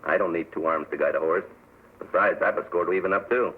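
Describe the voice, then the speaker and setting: A grim, wounded western scout speaks in a deep, husky voice at a quick, terse clip. It sounds like an old radio serial, narrow and tinny. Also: male voice, steady tone. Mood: determined. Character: wounded frontier scout, gruff, grim, secretly treacherous.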